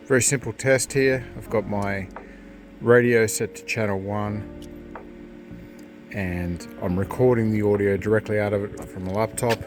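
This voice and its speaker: A man's voice: fairly low pitch, vocal fry. A man giving a casual hands-on product review in a plain-spoken, matter-of-fact way.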